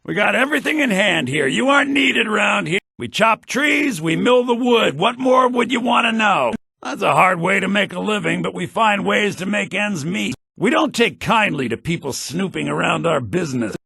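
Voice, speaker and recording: Guarded fantasy villager, gruff, curt, gravelly voice, video game dialogue. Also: male voice, high voice, animated, moderate pace, few pauses, pushed and bright, no room sound, clean studio sound, exaggerated voice.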